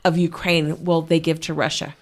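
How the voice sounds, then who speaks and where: A woman hosting a conversational interview, with a fairly low voice. She asks questions and keeps agreeing with short affirmations. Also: flat delivery, normal speed.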